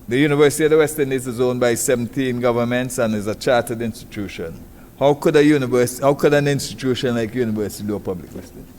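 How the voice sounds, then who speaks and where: A man's voice: mid-range voice, fast, a little mellow. A man chairing a conference session, courteous and formal. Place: large conference hall. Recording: hall reverb with faint noise.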